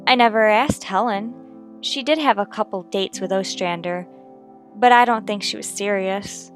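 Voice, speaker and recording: A woman's voice: mid-range voice, moderate pace, natural voice. A woman playing a wistful, reluctant piano saleswoman, subdued and hesitant, in a radio detective drama. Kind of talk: old-time radio detective drama acting.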